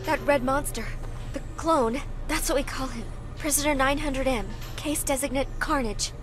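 A frightened female scientist in a video game, played by a woman. She speaks high and haltingly, shaken and defensive, over loud dramatic music. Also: close to an everyday voice.

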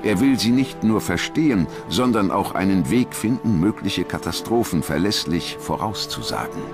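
A man's voice: low voice, lively intonation, normal speed. A man reading scripted narration as the narrator of a documentary. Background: music.

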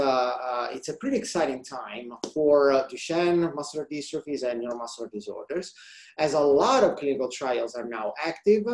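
A man from Italy with an Italian accent, a research biologist giving an online slide presentation in a hesitant way with many pauses. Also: mid-range voice, darkish tone. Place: indoor room, video call. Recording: roomy, echoing video call.

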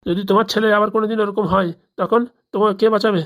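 A woman's voice: mid-range voice, fairly even intonation, racing, natural voice. A woman voicing a pleading, complaining older village uncle and henpecked husband in a comic cartoon. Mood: exasperated.

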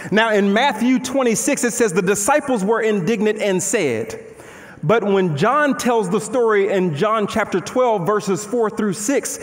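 A man in his thirties preaching an animated, conversational sermon on a large church stage, firing off rhetorical questions while he moves about. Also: high-pitched. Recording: long hall reverb.

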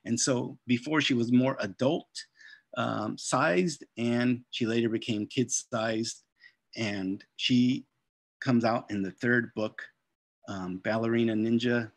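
A middle-aged man gives an informal slide talk over a video call. His medium-pitched voice has a noticeable creak, and he speaks casually with hesitant pauses. The sound is dry and close. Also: fairly even intonation, dry recording. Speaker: children's book author and illustrator. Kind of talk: informal slide presentation of artwork.

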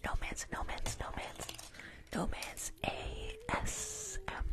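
A woman in her twenties chatting casually with viewers in a soft, breathy ASMR whisper close to a microphone, often repeating her phrases. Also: slow. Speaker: ASMR live streamer. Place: small indoor room. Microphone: desk microphone at close range.

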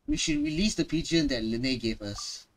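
A man's voice: medium pitch, sing-song, moderate pace. A man streaming live reaction commentary on a game, dramatic and repeating phrases for emphasis. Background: faint game music.

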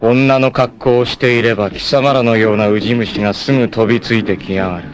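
A man's voice: medium pitch, steady tone, brisk, natural voice. A man playing a proud, vengeful martial-arts warrior in a 1980s anime, cool, ruthless and theatrical in delivery. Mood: cool menace. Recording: noisy old anime clip compilation.

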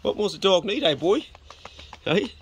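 A man's voice: high-pitched, normal speed, breathy edge. A middle-aged man with a New Zealand accent, casually explaining his homestead jobs and coaxing his dog outdoors, recorded on a body-worn action camera. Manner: relaxed, practical, coaxing the dog with "good boy" and "mate". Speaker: homesteading vlogger. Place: outdoors on a rural homestead and in bush.

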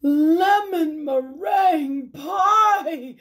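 Woman acting out storybook housewife, exasperated yet easily won over, very slow, emphatic stretched exclamations. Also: extremely high pitch, fairly bright tone, voice pushed up, full character voice. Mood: delighted shout.

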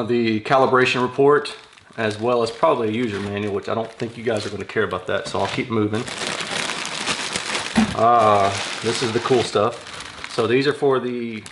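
A man in his thirties giving casual, think-aloud unboxing commentary in an informal manner, in a small workshop room. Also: on the low side. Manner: informal, thinking aloud while handling items. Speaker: product reviewer unboxing audio gear. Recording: clear crinkling and handling noise. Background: packaging crinkles, clicks, rustling.

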